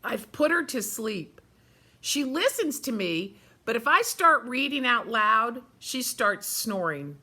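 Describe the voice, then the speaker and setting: Woman, children's story-time reader, bright clear-edged tone, slow pace, expressive and dramatic, chatty asides to listeners. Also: fairly high pitch. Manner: expressive, dramatic, addresses young listeners directly.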